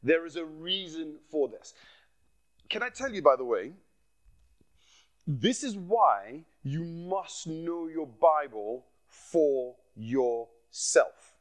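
Man, from Nigeria, guest preacher, sermon with testimony, passionate, repeats himself, fairly high voice. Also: expressive, slow.

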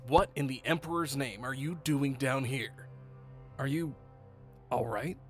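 A man's voice: medium pitch, measured pace, over loud music, lightly acted. A man playing a friendly lieutenant among soldiers in an audio drama. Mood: surprised.

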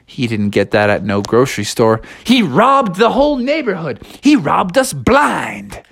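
A storyteller voicing an excitable bear in a children's story, exclaiming dramatically. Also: deep voice, a little fast, voice pushed down, natural voice. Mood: folksy, indignant. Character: excitable family of bears puzzling out a food robbery.

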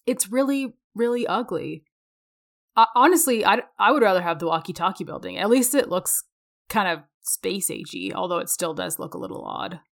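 A woman's voice: mid-range voice, expressive, normal speed, vocal fry. A woman co-hosting a conversational podcast, speaking in an opinionated, emphatic way. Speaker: podcast co-host.

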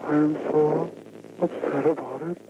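A distraught, weepy man making a halting confession as an anonymous caller on an old, muffled phone recording. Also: a little high, steady tone, loud music behind the voice. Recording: noisy muffled archival phone call.

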